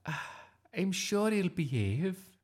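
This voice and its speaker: A performer voicing a flustered, gentle woman schoolteacher in a storybook, hesitant and fussy. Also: mid-range voice, sing-song, takes it slowly, voice pushed up. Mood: apologetic, anxious.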